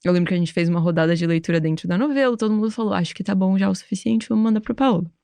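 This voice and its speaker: A woman's voice: medium pitch, racing, vocal fry, warm tone, dry recording. A woman scriptwriter on a podcast, telling anecdotes in a casual, chatty way.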